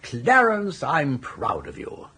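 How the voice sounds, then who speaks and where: A breezy, elderly upper-class English gentleman in a radio comedy. His voice is slightly husky and swoops freely in pitch. He talks with jaunty, teasing wit, as if forever amused by his own advice. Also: huge pitch swings, slow, exaggerated voice. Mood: jubilant, encouraging. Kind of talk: BBC radio comedy drama acting.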